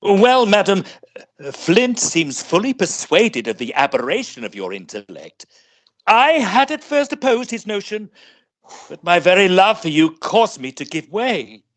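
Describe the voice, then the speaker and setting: An actor playing a scheming, villainous suitor in old-time radio melodrama, with florid, formal and insistent pleading. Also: male voice, sits high, big pitch swings, a little slow, a bit bright, full character voice. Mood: smooth, ardent.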